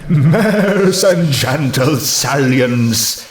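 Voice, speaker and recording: Man putting on a slow, wistful ghost mare. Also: mid-range voice, expressive, reverberant, voice pushed up. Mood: eager. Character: gentle, shy, wistful ghost mare seamstress.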